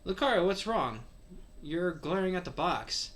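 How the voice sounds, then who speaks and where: A man's voice: sits high, very animated, measured pace, fairly bright tone, exaggerated voice. A man voicing an eager, questioning teenage boy gamer in a story read aloud. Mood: puzzled, concerned. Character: excitable teenage boy, gamer and creature trainer.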